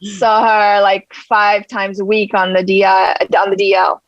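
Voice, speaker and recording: A woman's voice: medium pitch, little pitch movement, measured pace, no room sound, lightly acted. A woman playing a brash, streetwise male gangster rapper in a script table read. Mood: confiding. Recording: dull, muffled lo-fi sound.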